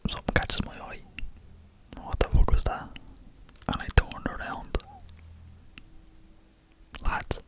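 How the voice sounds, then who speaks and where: A young man with an Irish accent, whispering an animated story to his viewers and swearing casually as he goes. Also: rough recording. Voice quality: whispering throughout. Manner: animated, swears casually, addresses listeners as lads. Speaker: vlogger telling a story.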